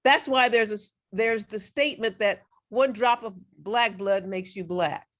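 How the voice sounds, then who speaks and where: A woman's voice: mid-range voice, a bit bright. A woman giving a lecture as a guest speaker, earnest, with her voice cracking from overuse. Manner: earnest, reads quotes aloud, frequent restarts. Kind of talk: lecture presentation.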